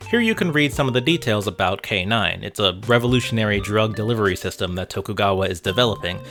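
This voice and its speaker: A man's voice: fairly low pitch, a bit bright. A man narrating a scripted video essay about games in a wry, conversational way, with asides to the viewer. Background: music.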